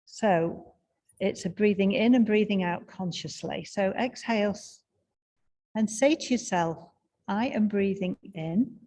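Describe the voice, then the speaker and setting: A woman guiding a breathing meditation in a slow, soft and soothing way, with long pauses. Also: medium pitch. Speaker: meditation guide.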